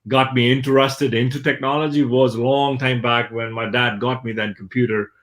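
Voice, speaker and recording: A man from Pakistan, a guest on an interview show, telling his story in a relaxed, chatty way with many fillers. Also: mid-range voice, moderate pace. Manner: relaxed and chatty, with many fillers like "uh" and "you know", laughs lightly at himself. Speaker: guest, technology executive.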